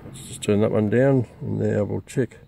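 An older Australian man casually demonstrating radios outdoors in a garden, relaxed and rambling with many fillers. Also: on the low side, normal speed, creaky. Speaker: radio hobbyist presenting receiver comparison. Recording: noisy outdoor recording.

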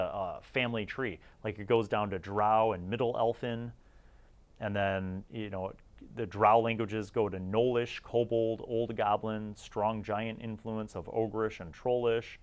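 Low-voiced man rambling casually. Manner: informal, enthusiastic, thinking aloud. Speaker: host of a tabletop gaming review channel. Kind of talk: casual rambling magazine review.

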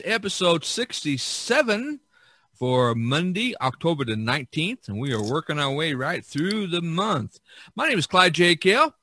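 Bright-voiced American podcast host. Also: male voice, a little high, dry recording. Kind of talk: casual podcast talk.

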